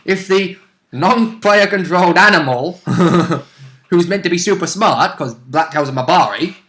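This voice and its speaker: Man, slightly high pitch, bright sharp voice, blunt opinionated gamer chat, casually sweary. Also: lively intonation, measured pace. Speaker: tabletop game player. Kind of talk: casual chat about a tabletop role-playing session.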